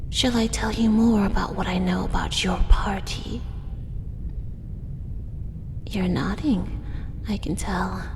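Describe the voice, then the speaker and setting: Casting: woman to play yandere spider monster lady for ASMR audio drama, soft whispers with gravelly edges, tender and menacing, long cavernous reverb. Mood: intimate, coaxing. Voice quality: soft-spoken, breathy whispering. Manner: slow, tender, menacingly intimate coaxing. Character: possessive, doting female drider spider monster, yandere. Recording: echoey cave-like reverb, faint rumbling noise.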